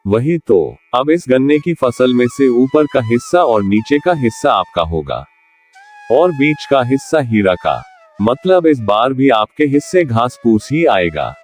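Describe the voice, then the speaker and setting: An actor voicing a landlord's sly, mocking crony in a cartoon, who needles and blusters. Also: male voice, mid-range voice, very animated, a little slow, soft background music. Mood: gleeful. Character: sly flattering male sidekick, landlord's crony.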